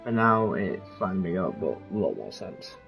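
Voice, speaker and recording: A man's voice: low-pitched, sing-song, normal speed, gravelly, dull audio. A young man giving casual gameplay commentary, rambling informally as he thinks aloud. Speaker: gaming streamer. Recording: game music behind the voice.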